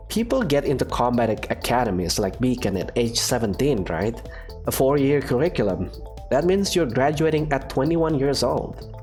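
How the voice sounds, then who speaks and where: A man narrating a fan visual novel as its student hero, hesitant and thoughtful. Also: medium pitch. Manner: hesitant, thoughtful, soft-spoken. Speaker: narrator and player character.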